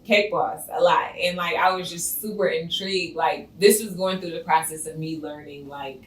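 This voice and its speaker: A woman's voice: on the low side, fairly even intonation, normal speed. A woman in her thirties from Ohio, an entrepreneur guest talking casually in an interview. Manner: conversational, says "you know what I'm saying". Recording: roomy, slightly distant mic.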